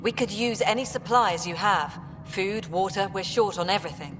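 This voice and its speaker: An actress playing a stern, worried colonial official in a video game. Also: female voice, medium pitch, normal speed, natural voice. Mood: grim, urgent. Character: stern, worried colonial affairs director, woman. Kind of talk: video game cutscene acting. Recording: reverberant hall with music behind.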